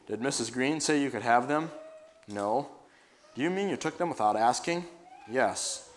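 A gentle old grandmother out of a children's storybook, kindly yet firm when she scolds, voiced by a man reading aloud. Her voice stays close to his ordinary middle pitch. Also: expressive, moderate pace, a little hiss or hum, natural voice. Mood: stern. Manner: kindly and admonishing.

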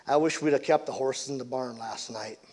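A man voicing a soft-spoken farmer father who answers calmly and apologetically. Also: mid-range voice, fairly quick, lightly acted. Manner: quiet, apologetic, calm.

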